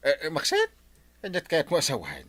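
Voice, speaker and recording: Comic village man, puzzled and stammering, hugely animated pitch, for radio comedy. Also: fairly quick, heavily acted. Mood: flustered, puzzled. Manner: hesitant, stammering. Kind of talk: comedic radio drama acting.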